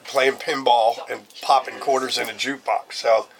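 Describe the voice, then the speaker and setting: A raspy-voiced man in his fifties, a singer telling stories in a casual interview and humming out riffs as he goes. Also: mid-range voice, normal speed, crowd noise. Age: 50s. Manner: animated storyteller who hums out riffs. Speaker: singer in a band, guest.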